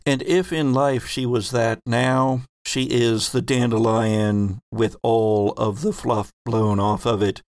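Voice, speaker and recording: A man narrates a tabletop game as its game master, taking his time over every description. His voice has a gravelly edge. The sound is dry, with no room around it. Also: medium pitch, fairly even intonation, dry recording. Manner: slow, descriptive narration with rules explanations.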